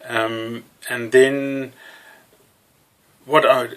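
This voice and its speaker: Slow, bright-voiced Afrikaans-preacher. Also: male voice, medium pitch, flat delivery, poor audio. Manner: slow, halting, with many fillers and restarts. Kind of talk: sermon.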